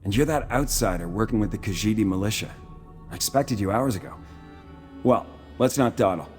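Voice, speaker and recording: An actor playing a proud, shrewd Imperial military commander in a video game, speaking formally and with dry self-assurance. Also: male voice, fairly low pitch, normal speed, natural voice. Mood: smug, dry. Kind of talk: video game character dialogue. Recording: music playing behind the voice.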